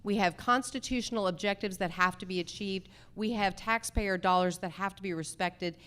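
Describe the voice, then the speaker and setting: A woman candidate for judge speaking formally at a forum, deliberate, articulate and emphatic. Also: medium pitch, a bit bright, clear, resonant tone. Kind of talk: formal forum answers, measured campaign speech.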